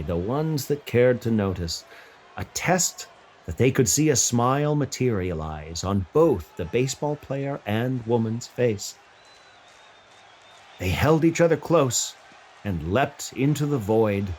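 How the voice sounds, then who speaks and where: A man's voice: fairly low pitch, a little mellow, slight background noise, low-quality recording. A man narrating a scripted short story as a literary reader. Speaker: fiction reader. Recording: music bed behind voice.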